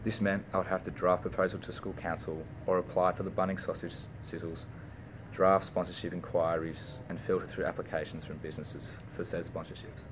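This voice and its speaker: A young man with an Australian accent reads through a personal speech he has written. His voice sits low, and he stumbles now and then before restarting a phrase. A steady noise runs under the recording. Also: brisk. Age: young adult. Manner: reads a prepared speech with small slips and restarts. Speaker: university student.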